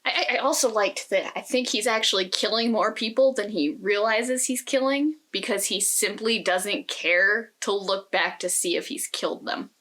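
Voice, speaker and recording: Woman co-host chatting about books, mid pitch, bright crisp-edged voice, casual. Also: normal speed, hardly any pauses. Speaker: podcast co-host. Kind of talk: casual conversational book discussion.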